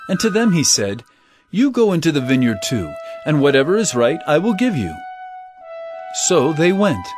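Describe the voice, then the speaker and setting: A man playing an authoritative teacher in a Bible audio drama, speaking in a measured, solemn, instructive way. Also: on the high side. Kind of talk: audio drama scripture storytelling.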